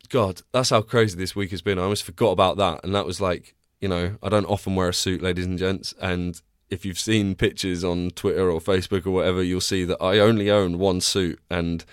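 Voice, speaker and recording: Young man, stuffy nose, tired, chatty, clear resonant tone. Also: low voice, no echo, studio quality. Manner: rambling, chatty, many restarts. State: congested, tired. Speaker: podcast host. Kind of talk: casual solo podcast chat. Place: small cramped home recording space.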